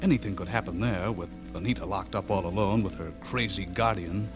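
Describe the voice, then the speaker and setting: An anxious young newspaperman, played by a male actor, tells his horror story in a lowish voice. The delivery is dramatic and foreboding, in 1940s radio style. It comes through a muffled vintage recording with loud music underneath. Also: a little fast, close to an everyday voice. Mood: grave, fearful. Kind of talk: 1940s radio acting, first-person narration.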